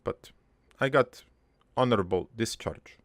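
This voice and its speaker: A man playing a gruff, crude army veteran turned prankster, telling his regretful life story in a halting, matter-of-fact ramble for an improvised cartoon monologue. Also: on the low side, no echo, close to an everyday voice. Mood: somber, weary.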